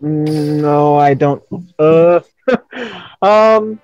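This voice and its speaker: Higher-pitched, excitable, sweary man with music behind. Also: extremely slow, no room sound, lo-fi sound. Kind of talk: casual chat on a gaming livestream.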